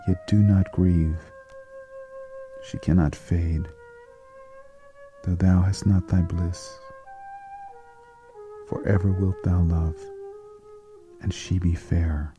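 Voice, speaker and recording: A man giving a dramatic poetry recitation in a solemn, deliberate manner, pausing between short phrases. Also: deep voice, warm tone. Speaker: poetry reader. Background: flute music.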